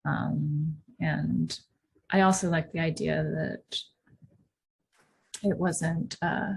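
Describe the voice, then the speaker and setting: A woman's voice: low voice, steady tone, very slow, gravelly, husky. A woman from Hong Kong, a guest artist on a video-call panel, speaking in a thoughtful way with frequent ums. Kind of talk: panel discussion.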